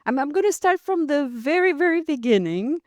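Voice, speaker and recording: A woman's voice: high-pitched, sing-song, a little fast, a little mellow, no room sound. A woman from Costa Rica, a podcast guest, telling her career story with frequent fillers, restarts and light laughs. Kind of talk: interview conversation.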